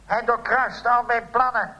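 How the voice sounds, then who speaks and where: A man playing a smug blackmailing villain, polite and calmly menacing, in a comic radio play. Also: extremely high pitch, a little slow, muffled recording, voice pushed up.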